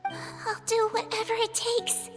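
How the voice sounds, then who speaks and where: Very high-pitched earnest little girl. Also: completely flat delivery, unhurried. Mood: determined. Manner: earnest, childlike. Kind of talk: scripted game voice acting. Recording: long reverb, clear music behind.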